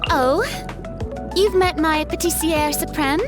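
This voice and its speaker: An actress playing a proud yet vulnerable young female celebrity in a video game, with dramatic, refined, expressive delivery. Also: sits high, takes it slowly, music in the background. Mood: bright, chatty. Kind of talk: scripted game character voice lines.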